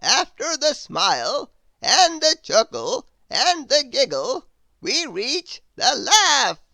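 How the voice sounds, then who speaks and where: A storyteller voicing a brisk, bossy male wizard who speaks loudly and with emphasis. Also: high-pitched, wildly swooping pitch, creaky, no room sound, voice pushed up, exaggerated voice. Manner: loud, emphatic and drawn out.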